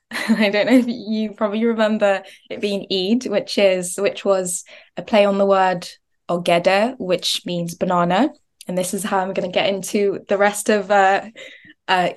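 A young woman from Nigeria talking chattily as a podcast guest, often laughing and restarting words. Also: on the low side. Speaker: guest, business founder. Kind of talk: podcast interview.

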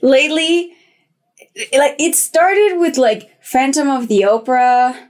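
A woman's voice: high-pitched, animated, slow, darkish tone. A young woman co-hosting a podcast, chatting casually and enthusiastically, often laughing. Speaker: podcast co-host. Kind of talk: casual chat.

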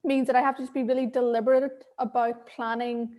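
A woman's voice: fairly high pitch, flat delivery, brisk, mellow. A woman with an Irish accent, a guest speaking candidly on a video call, laughing often and repeating words as she thinks. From Ireland. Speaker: interview guest. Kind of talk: casual video-call conversation.

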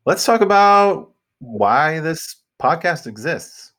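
A man hosting a podcast, talking in a relaxed, conversational way and steering the topics. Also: on the high side, takes it slowly. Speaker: podcast host. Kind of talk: casual chat.

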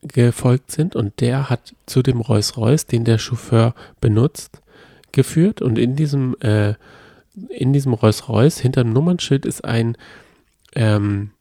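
A man's voice: medium pitch, slow pace, creaky, dark tone, dry recording, studio quality. A man co-hosting a podcast in casual chat, eagerly retelling a plot and often restarting his sentences. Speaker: podcast co-host.

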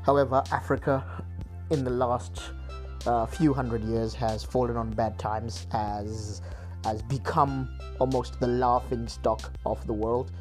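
A man's voice: medium pitch, steady tone, takes it slowly, soft background music. A man from Zimbabwe hosting a solo podcast, talking earnestly with many restarts and repeated words. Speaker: podcast host. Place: United Kingdom.

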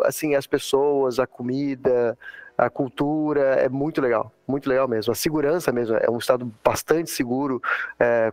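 A man from Brazil, a podcast guest telling his story in a relaxed, chatty way. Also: mid-range voice, no echo. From Santa Catarina, southern Brazil. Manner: relaxed storytelling, frequent "cara" and "né". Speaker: podcast guest, airline pilot. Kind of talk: casual podcast chat.

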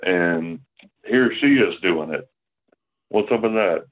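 Slow, very deep-voiced man on the phone. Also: animated. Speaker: caller. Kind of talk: call-in show chat. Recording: tinny phone line.

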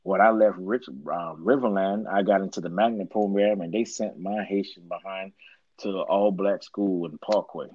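A man's voice: sits low, steady tone, moderate pace, no echo, highs cut off. A man in his thirties, a podcast guest, telling stories in a laid-back, slangy way and often checking in with "you know what I mean". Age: 30s. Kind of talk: casual storytelling chat.

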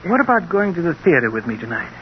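A man's voice: on the high side, big pitch swings, quick pace. An actor in a 1940s radio drama playing an unhappily married, lovesick man, earnest and pleading in a melodramatic way. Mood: eager, persuasive. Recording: muffled, noisy old radio recording.

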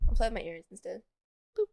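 A woman's voice: mid-range voice, sing-song, normal speed, gravelly, mellow. A woman of twenty-two, co-hosting a podcast with silly, casual banter. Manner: playful, silly. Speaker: podcast co-host.

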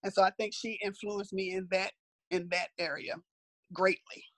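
A middle-aged woman with a raspy voice and a sore throat, talking reflectively with her daughter in a casual family conversation. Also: medium pitch, little pitch movement, normal speed, fairly bright tone, dry recording. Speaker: mother being interviewed by her daughter.

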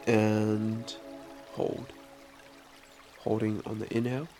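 A man with a head cold, nasal and bunged up, calmly guiding a breathing meditation and counting breaths. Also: lowish voice. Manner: calm, unhurried counting and instruction. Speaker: meditation guide and podcast host. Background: soft background music.

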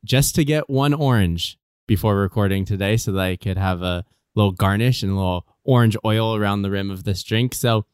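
Low, smooth-voiced man hosting a podcast. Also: a little fast, hardly any pauses, dry recording, studio quality. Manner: upbeat, chatty, teasing. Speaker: podcast host. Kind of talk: casual podcast chat. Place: warm guest room at home.

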